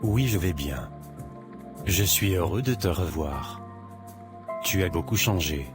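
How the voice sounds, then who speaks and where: A man's voice: low voice, animated, slow. A man playing a cocky, macho young man in a cartoon, boastful and dismissive in manner. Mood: friendly. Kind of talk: cartoon voice acting. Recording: music behind the voice.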